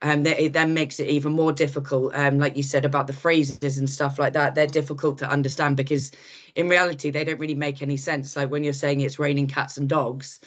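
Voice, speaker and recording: A woman's voice: deep voice, level tone, fairly quick. A woman hosting a podcast interview, asking long, rambling questions full of ums and restarts. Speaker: podcast host.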